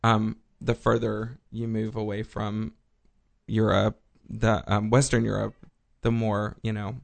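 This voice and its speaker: Casting: gravelly-voiced male co-host, from Texas, loose rambling delivery. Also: lowish voice, completely flat delivery, a little slow. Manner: rambling, many ums and restarts. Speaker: podcast co-host. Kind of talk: casual news chat.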